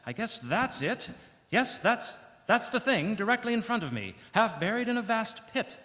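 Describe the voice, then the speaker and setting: Male actor as old-time radio reporter: high, bright voice, earnest and inquisitive, formal phrasing, reverberant hall. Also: big pitch swings, moderate pace, full character voice. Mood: urgent, awed. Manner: formal announcer phrasing, pointed questions. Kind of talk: 1930s radio news acting. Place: church hall stage, live performance.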